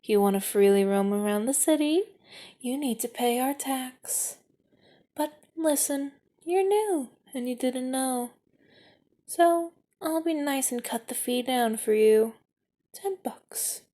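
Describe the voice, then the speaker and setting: A woman's voice: high voice, slow pace, dark tone. A woman voicing a menacing, knife-wielding street extortionist in an ASMR audio roleplay, taunting and mock-sweet with hissed whispers.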